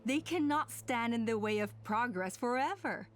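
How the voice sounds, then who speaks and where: A calculating female villain from a video game, played by an actress, speaks with measured condescension and an air of command. Her voice sits a little high, with music playing behind it. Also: lively intonation, lightly acted. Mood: cool menace. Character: calculating woman clan faction leader, coolly menacing villain. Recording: game dialogue over background music.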